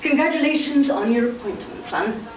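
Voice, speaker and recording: A woman's voice: high voice, moderate pace, far from the mic, voice pushed up, close to an everyday voice. A woman voicing a melodramatic, self-absorbed older father, a pompous playwright, in a grandiose and fussy way at a live book reading. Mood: huffy, then bright. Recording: muffled, echoey, noisy room from afar.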